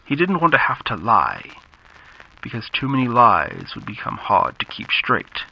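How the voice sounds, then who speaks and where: A man narrating a scripted horror story in the style of classic radio drama, with measured, dramatic delivery. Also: medium pitch, huge pitch swings, gravelly. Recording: muffled lo-fi audio with background noise.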